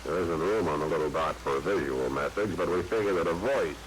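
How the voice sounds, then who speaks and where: A low, deep-voiced official, played by a man, explains absurd things with total deadpan calm. He sounds like a bureaucrat from an old radio comedy sketch, with faint hiss in the background. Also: a little fast, natural voice, rough recording. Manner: deadpan, matter-of-fact. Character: male glow-light official, smug deadpan bureaucrat.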